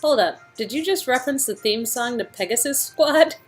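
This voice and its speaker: A woman's voice: a little high, lively intonation, normal speed, soft background music, voice pushed up, close to an everyday voice. A woman voicing a sassy young female newcomer in a romance game, playful, teasing and casual. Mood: amused, teasing. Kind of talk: amateur dialogue reading of a romance game.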